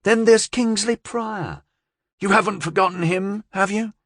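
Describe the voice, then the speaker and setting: A man's voice: high-pitched, unhurried, a bit bright, no echo, studio quality, pitched above the performer's own voice. A man voicing a cool, confident gangster's woman in an audiobook, speaking in a firm, assured, coaxing way. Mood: persuasive, assured.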